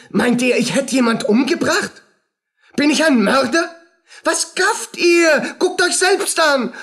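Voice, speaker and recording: An actor playing a tormented, feverish soldier unraveling in guilt in a radio play, husky and agitated, in fragments. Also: male voice, very high voice, fairly bright tone, full character voice. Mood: defiant, panicked. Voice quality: husky, rough. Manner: agitated, fragmented, muttering and crying out.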